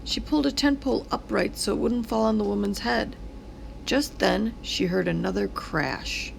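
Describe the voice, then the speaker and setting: A woman reading a children's story aloud in an expressive, dramatic way, drawing words out. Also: medium pitch, moderate pace, gravelly, a little hiss or hum, low-quality recording. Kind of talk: expressive read-aloud narration.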